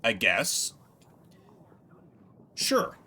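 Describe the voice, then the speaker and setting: A man's voice: drawn-out words, pushed and bright. A man streaming a game with casual commentary, a little congested from a cold. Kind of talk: casual game playthrough commentary, voicing dialogue.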